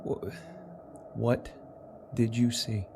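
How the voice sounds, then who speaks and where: A man plays a patient, gruffly kind police detective in an audio drama. His mid-pitched voice moves slowly in short, gentle phrases. Faint room noise sits underneath. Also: flat delivery. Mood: gentle, reassuring.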